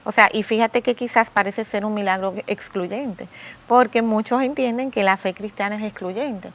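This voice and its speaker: A woman's voice: medium pitch, normal speed, a bit bright. A woman with a Dominican accent hosting a faith interview, attentive and often murmuring agreement. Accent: Dominican Spanish. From Dominican Republic. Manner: attentive, frequent affirming murmurs. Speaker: interview host.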